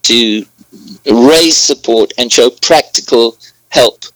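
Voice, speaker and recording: A man with a mixed accent that is hard to place, talking as a guest in an interview. His voice is bright and forceful, recorded dry and close. He speaks earnestly, measured and unhurried, with some hesitations. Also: medium pitch, no room sound, rough recording. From southern Africa. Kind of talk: interview on air.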